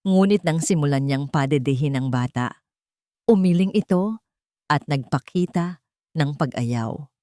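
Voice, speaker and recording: A woman's voice: low-pitched, animated, unhurried, long pauses, warm tone, no echo, clean studio sound. A woman narrating a scripted dramatic story as a storyteller.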